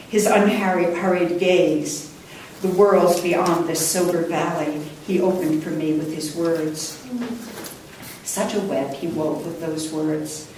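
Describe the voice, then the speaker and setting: A woman poet reading her own work live at a book launch, with a measured, deliberate delivery and careful pauses. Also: fairly low pitch. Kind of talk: live poetry reading with brief introductions. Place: indoor room before a live audience. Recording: distant mic, echoey room, faint noise.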